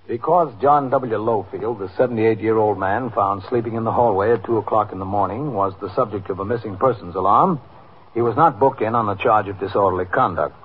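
Man playing a measured police captain, old radio. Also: on the low side, natural voice. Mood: official, measured. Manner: measured, matter-of-fact. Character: calm, methodical male police captain. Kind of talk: 1950s radio drama acting, procedural. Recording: noisy narrow-band 1950s radio recording.

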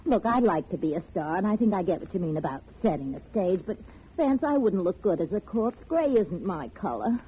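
A woman's voice: on the low side, very animated, a little fast. An actress playing a frightened, slangy, sassy young woman in a 1940s radio crime drama, with an emphatic, pleading way of talking. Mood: wary, wisecracking. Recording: tinny, noisy old radio recording.

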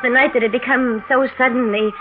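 An actress playing an anxious, inquisitive wife in a 1940s radio mystery, speaking in a hesitant, probing way. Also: female voice, on the high side, fairly even intonation, fairly quick, phone-quality sound, natural voice. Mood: somber narrating. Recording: thin vintage radio broadcast, music underneath.

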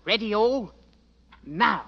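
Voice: medium pitch, animated, slow pace, vocal fry, heavy background noise. An actor playing a bold, cocky young British army fifer boy with a Cockney accent, in an old radio drama. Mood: goading. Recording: dull vintage 1940s-50s radio recording.

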